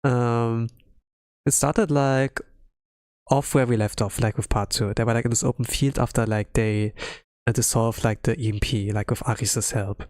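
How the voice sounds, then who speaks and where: Rambling, halting male streamer. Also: medium pitch, fairly even intonation, a little mellow, no room sound, clean studio sound. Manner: rambling, halting, heavy use of filler "like". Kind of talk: casual readalong commentary on a game story. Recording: music under the voice. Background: game music.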